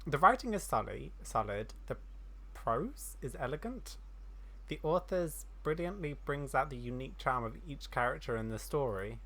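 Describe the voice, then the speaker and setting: Game streamer, mid-range voice: casual commentary, reads on-screen text haltingly, self-deprecating. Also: medium pitch, expressive, pushed and bright. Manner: stumbles while reading aloud, self-deprecating. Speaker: gaming streamer. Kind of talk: casual game playthrough commentary and reading dialogue aloud.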